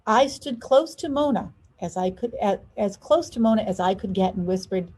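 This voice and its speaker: A woman narrating a children's storybook expressively, with deliberate, drawn-out emphasis on key words. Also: mid-range voice, animated, a little mellow, husky. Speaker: school superintendent reading a children's story aloud.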